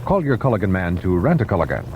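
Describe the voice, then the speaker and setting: A man's voice: low voice, very animated, fast talker. A man reading a scripted advertisement as a commercial announcer on vintage radio. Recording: muffled, faintly noisy vintage radio.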